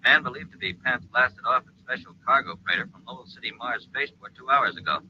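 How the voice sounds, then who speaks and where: Casting: very sharp, piercing dispatcher, clipped and official, vintage sci-fi radio, muffled noisy recording. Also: male voice, medium pitch, animated, fast. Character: formal male space control radio dispatcher. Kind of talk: old-time radio serial acting.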